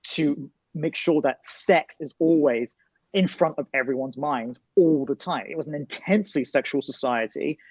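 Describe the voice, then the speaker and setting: A man's voice: a little high, normal speed, darkish tone, dry recording. A man making long, articulate, wry points in a panel discussion. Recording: dry, muffled lo-fi sound.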